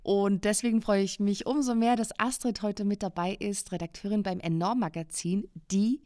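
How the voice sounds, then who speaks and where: A woman's voice: mid-range voice, steady tone, moderate pace, darkish tone, dry recording. Chatty woman hosting a talk. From South Africa. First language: English. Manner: chatty and enthusiastic. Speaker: podcast host and editor. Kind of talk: casual interview chat.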